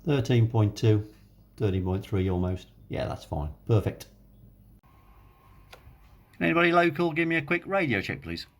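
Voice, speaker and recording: A man presents a hobby demonstration. His delivery is casual and unhurried, with hesitations as he thinks out loud while he works. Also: fairly low pitch, animated. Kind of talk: casual hands-on demonstration narration.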